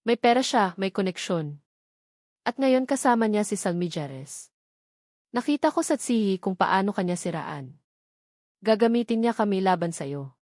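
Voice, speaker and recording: A woman's voice: mid-range voice, expressive, normal speed, pauses often, a little mellow, no room sound, studio quality, lightly acted. Woman reading as an anxious, guarded young aunt. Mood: doubtful, afraid. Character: anxious, doubtful young aunt hiding her fear.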